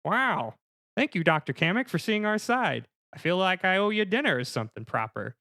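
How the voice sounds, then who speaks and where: A man's voice: high-pitched, big pitch swings, moderate pace, bright, forceful tone, no room sound, clean studio sound, pitched above the performer's own voice, exaggerated voice. A man voicing a laid-back, easily amazed movie plumber hero with an earnest, drawling manner.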